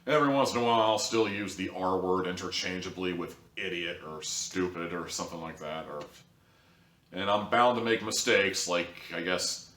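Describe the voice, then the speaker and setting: A man's voice: low-pitched, moderate pace, a bit bright, far from the mic. A man giving a casual, opinionated review with a blunt, sarcastic manner. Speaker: online audio drama reviewer. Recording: echoey room, off mic.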